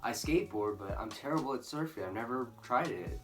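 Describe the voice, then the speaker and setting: A sixteen-year-old boy from California speaking earnestly to camera as a student, in a measured, candid way. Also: medium pitch, lively intonation, a bit bright. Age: teens. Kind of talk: speaking to camera for a school video. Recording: distant mic, faint music behind.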